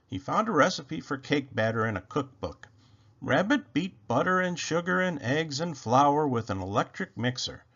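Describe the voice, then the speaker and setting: A man's voice: a little high, sing-song, moderate pace, a bit bright. A man reading a children's story aloud as narrator, expressively, on a slightly dull lo-fi recording.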